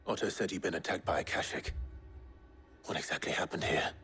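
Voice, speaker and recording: Grave young warrior lord, low-voiced. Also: male voice, brisk, a bit bright, husky, heavily acted. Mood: grave resolve. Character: stoic, dutiful young nobleman warrior. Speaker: lead character in a video game. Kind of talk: dramatic cutscene acting. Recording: music behind the voice.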